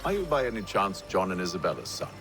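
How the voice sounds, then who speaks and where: Low-voiced composed gentleman. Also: big pitch swings, fast, gravelly, over music, heavily acted. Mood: cool, inquiring. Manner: formal, probing. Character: composed, curt gentleman of high standing. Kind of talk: dramatic video game voice acting.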